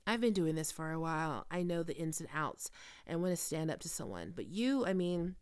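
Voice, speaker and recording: A woman's voice: low-pitched, sing-song, a little fast, a little mellow, smooth. A woman playing a demanding, sardonic, flirty diva film star in an ASMR roleplay. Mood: wry, reassuring. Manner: sardonic, swearing, teasing.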